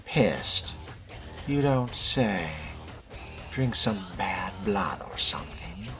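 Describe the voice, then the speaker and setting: A man voicing a guarded fledgling vampire in a video game role-play, with dry, deliberate, sardonic delivery. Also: sits low, sing-song, over music, heavily acted.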